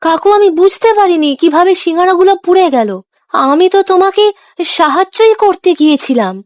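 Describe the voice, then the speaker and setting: Woman as a helpful cartoon village boy, very high lively voice, earnest pleading, dry sound. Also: a little fast, dry recording. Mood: defensive. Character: eager, helpful, apologetic young village boy. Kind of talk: children's cartoon dialogue.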